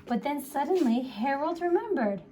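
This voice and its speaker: A woman reading a picture book aloud to children in an expressive, playful storytelling way, with chatty asides. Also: sits high, moderate pace. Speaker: story time reader.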